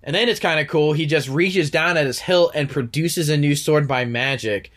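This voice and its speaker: A man's voice: fairly high pitch, normal speed, fairly bright tone. A man with a stuffy nose, co-hosting a podcast and recounting scenes in long rambling run-on stretches. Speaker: podcast co-host. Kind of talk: casual recap chat.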